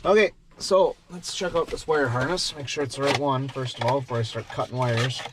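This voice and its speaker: A middle-aged man chats in a loose, rambling way while working in a workshop. He mutters to himself over the job at an ordinary pitch. Faint clicks and shop noise sit behind his voice. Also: a little fast. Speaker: vlogger doing truck and shop repairs. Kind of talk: casual chat while working.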